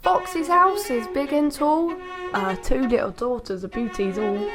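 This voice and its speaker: Woman voicing a high singsong fiddler cat. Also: a little slow, music in the background. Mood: luring, singsong. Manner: singsong rhyming, projected. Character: devoted, crafty male fiddler cat of a folk tale.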